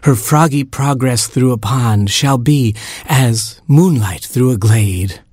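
A man's voice: medium pitch, slow, darkish tone, husky, dry recording, studio quality. A man voicing an elegant, courtly butterfly baron with a formal, flowery way of speaking.

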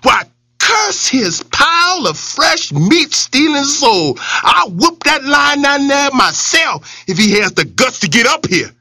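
A storyteller doing a squeaky sly rabbit. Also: big pitch swings, bright, forceful tone, no echo, studio quality, heavily acted. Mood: goading. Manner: pleading, stammering, rapid patter. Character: small sly fast-talking male rabbit trickster.

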